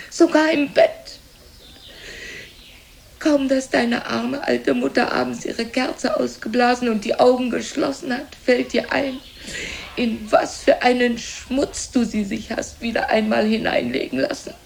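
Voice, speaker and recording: A woman's voice: sits high, vocal fry, slight background noise, heavily acted. An older actress playing an aging, self-pitying, reproachful mother in a theatrical German radio drama, lamenting in a plaintive, dramatic way. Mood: reproachful, anguished. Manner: plaintive, dramatic, repetitive lamenting with swings in loudness.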